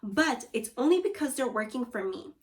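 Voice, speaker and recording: A woman voicing a calm, reasonable older police chief in a storybook, explaining things in a measured way. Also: on the high side, far from the mic, slight background noise. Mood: calm, confiding.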